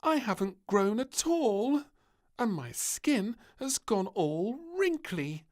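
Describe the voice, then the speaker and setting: Casting: bossy little storybook princess, very high and wildly animated, piping and whiny played by a man. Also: takes it slowly, heavily acted. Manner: squealing, wailing, piping delivery. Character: bossy little princess girl, whiny, excitable, easily cross.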